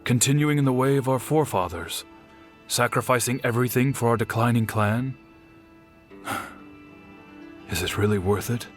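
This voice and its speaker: An actor playing a middle-aged clan head and father, weary and dutiful, in measured, reflective game dialogue. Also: medium pitch, soft background music, lightly acted. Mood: weary, resigned.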